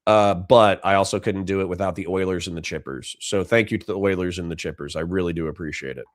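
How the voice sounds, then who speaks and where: A man's voice: sits low, quick pace, no echo. Dry-voiced middle-aged male streamer. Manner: dry, sincere, rambling with many restarts. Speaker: livestream host. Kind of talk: casual livestream chat reading donations.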